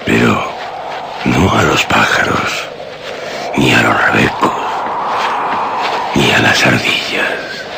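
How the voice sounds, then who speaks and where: A man playing a lonely, ugly, resigned wild mountain man in a radio drama, with slow, halting, sorrowful speech and a gravelly voice. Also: lively intonation, background noise, full character voice. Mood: sorrowful resignation. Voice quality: gravelly, very deep. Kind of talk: Spanish radio drama acting.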